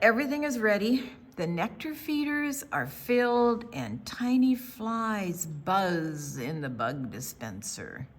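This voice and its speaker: Slow, expressive older woman reading aloud. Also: lowish voice. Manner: slow, deliberate storyteller phrasing with dramatic pauses. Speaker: children's storytime presenter. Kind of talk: reading a picture book aloud to children.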